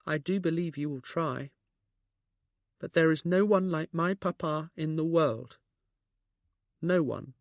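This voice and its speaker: A man's voice: expressive, moderate pace, no room sound, clean studio sound. A man voicing a young woman who is grieving but trying to stay strong. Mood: sad. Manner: high, trembling, pleading.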